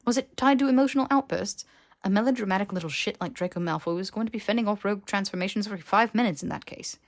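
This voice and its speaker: A woman reading fan fiction aloud as an audiobook narrator, in an expressive storytelling style. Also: mid-range voice, quick pace.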